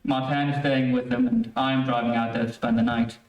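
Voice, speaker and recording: A man plays a worried doctor in an old-time radio thriller. His mid-pitched delivery is formal and earnest, with some urgency. He sounds away from the mic in an echoing room. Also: level tone, a little fast, natural voice. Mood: earnest, pleading. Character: worried, earnest male surgeon under death threat. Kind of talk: 1930s radio drama acting. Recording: roomy, distant-miked stage recording.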